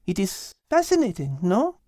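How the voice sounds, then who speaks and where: Elegant high-pitched French lady cat. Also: huge pitch swings, normal speed, mellow, no echo, clean studio sound. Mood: enthusiastic teaching. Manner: precise, enthusiastic explaining. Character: elegant female French cat, clever, botany-loving teacher. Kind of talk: children's audiobook character voice.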